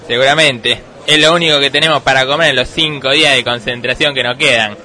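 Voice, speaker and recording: A man's voice: medium pitch, fairly even intonation, a little fast, pushed and bright. A man playing a bossy football coach in a comedic radio sketch, ordering his players around.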